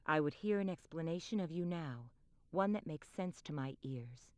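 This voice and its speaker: Low, imperious fantasy monarch. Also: female voice, expressive, no echo. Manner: measured, imperious. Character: regal, authoritative monarch, stately and stern. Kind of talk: video game cutscene acting, archaic formal speech.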